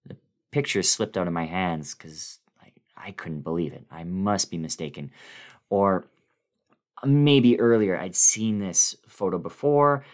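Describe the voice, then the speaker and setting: Deep-voiced man dramatically reading horror. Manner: theatrical, suspenseful, with dramatic pauses. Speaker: guest reader of a horror story. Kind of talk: dramatic first-person horror story reading.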